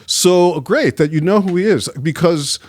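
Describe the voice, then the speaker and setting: A man guesting on a casual podcast, easygoing and quick to laugh, musing reflectively between jokes. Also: sits high, no room sound. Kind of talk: casual chat.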